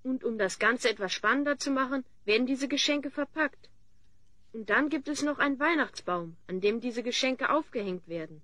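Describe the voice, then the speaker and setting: An actor playing a calm, earnest young male hero from another world, patient and instructive, in a fantasy audio drama. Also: mid-range voice, normal speed, no echo.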